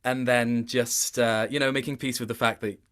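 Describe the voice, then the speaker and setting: A man giving a casual interview as a voice actor, thoughtful and halting, often interrupting himself. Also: medium pitch, flat delivery, a bit bright, clean tone.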